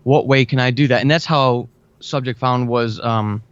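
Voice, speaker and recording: A man's voice: medium pitch, fairly even intonation, moderate pace, clean tone, dry recording, dull audio. An American man talking chattily and with enthusiasm as a guest in a conversational interview, repeating words for emphasis. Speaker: podcast guest, audio drama writer.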